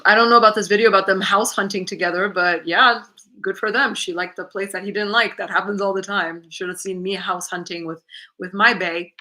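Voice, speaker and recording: A woman's voice: bright, forceful tone, poor audio. A woman chatting candidly to viewers from her living room on a livestream, reading comments aloud and laughing often. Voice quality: lower-voiced. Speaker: YouTuber hosting a livestream Q&A.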